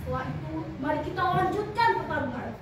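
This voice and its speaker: A girl playing a kind, mighty old grandfather from a folk tale, calm and gentle, in a high voice. It echoes strongly through a large hall, heard from afar over background noise. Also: quick pace. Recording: echoey hall, from far off, noisy.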